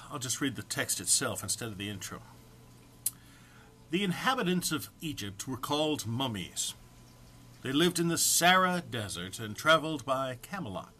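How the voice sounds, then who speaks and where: A man's voice: mid-range voice, big pitch swings, moderate pace, sharp tone, slight background noise. A man informally introducing and reading comic text aloud in a mock-solemn manner, struggling to keep from laughing. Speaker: amateur reader recording for an online post.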